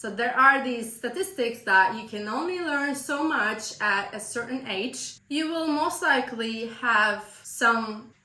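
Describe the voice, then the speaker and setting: A Turkish-accented woman speaks slowly and emphatically, coaching the listener directly. Her voice is bright yet a little hoarse from a cold. The sound carries a roomy echo. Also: on the high side, lively intonation. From Turkey. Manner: earnest, emphatic, direct address. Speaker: business coach. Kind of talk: motivational vlog advice.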